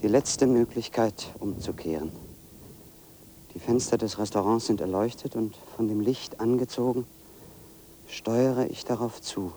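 An actor playing a solitary, brooding man who narrates his own walk in a measured, deliberate way, as in a radio play. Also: on the low side, warm tone, slight background noise, lightly acted. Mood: brooding, observant. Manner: measured, deliberate, literary first-person narration. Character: solitary brooding man walking into a dark forest. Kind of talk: radio play interior monologue.

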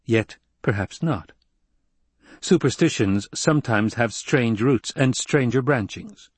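A man's voice: mid-range voice, slow pace, slightly rough, dry recording. A male narrator voicing a proud young nobleman who speaks with commanding force. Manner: imperious, forceful delivery.

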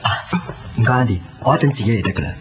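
A man with a Hong Kong Cantonese accent playing a quiet, melancholy young man in love in a radio drama. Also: medium pitch, expressive, very fast, background noise, natural voice. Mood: polite, subdued. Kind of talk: 1990s radio drama acting. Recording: dull lo-fi radio recording under loud music.

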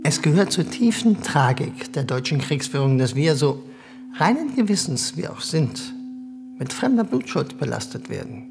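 A man's voice: fairly high pitch, big pitch swings, normal speed, gravelly, music in the background. A man in a radio drama playing a condescending German government official, formal, evasive and hesitantly polite. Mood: cool, measured.

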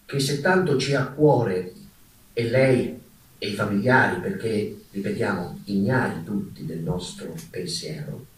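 A man playing a solemn pope in a stage monologue, reading out a formal letter in a stately, measured manner. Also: on the low side, voice pushed down, natural voice. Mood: solemn, insistent. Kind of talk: theatrical reading of a historical letter. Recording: echoey room, distant mic, faint noise.

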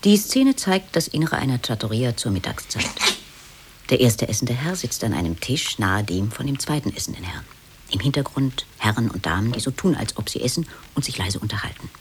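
Animated male radio play narrator. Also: medium pitch, a little fast, noisy background. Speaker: narrator reading titles and stage directions.

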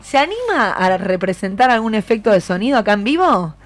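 A woman's voice: medium pitch, big pitch swings, brisk, crowd noise. A woman with an Argentine accent hosting a live radio interview, encouraging her guests and asking them questions. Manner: encouraging, asks many questions. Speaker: radio show host.

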